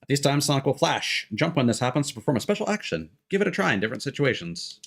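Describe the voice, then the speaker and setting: A man's voice: medium pitch, very animated, fast, gravelly, background noise. A man streaming a video game, chatting informally, thinking aloud and reading on-screen text. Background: game music and sound effects.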